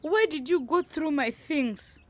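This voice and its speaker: A woman's voice: high-pitched, a little slow. A woman playing a distressed wife in a radio drama, pleading and insistent as she stands her ground. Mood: defiant.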